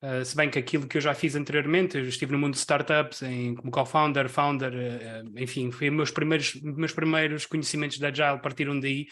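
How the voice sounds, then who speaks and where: A man's voice: medium pitch, little pitch movement, a little fast, few pauses, a bit bright. A Venezuelan-born man with a European Portuguese accent, introducing himself as an innovation lab manager in a friendly, joking way with some fillers. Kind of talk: online talk presentation. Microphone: video call.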